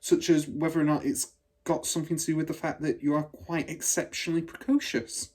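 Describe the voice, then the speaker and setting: A man's voice: fairly high pitch, little pitch movement, a little fast, darkish tone, close to an everyday voice. A man voicing a kind, patient and cautious woman schoolteacher in a children's storybook, speaking in a careful, explanatory way. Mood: thoughtful, explaining. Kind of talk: children's storybook read aloud.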